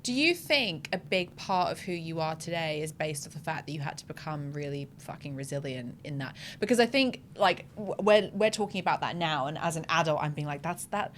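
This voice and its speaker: A young adult woman hosting an interview podcast, with a somewhat low voice. She is enthusiastic and blunt, and drops in casual swearing as she asks questions. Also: animated, a little fast, few pauses, a little hiss or hum. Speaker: podcast host.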